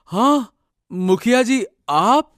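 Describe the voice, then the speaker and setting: An actor playing an earnest, troubled young farmer in a cartoon moral story, melodramatic, halting and pleading. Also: male voice, extremely high pitch, expressive, a bit bright, no room sound, studio quality, heavily acted. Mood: surprised. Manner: halting, pleading, repeating words.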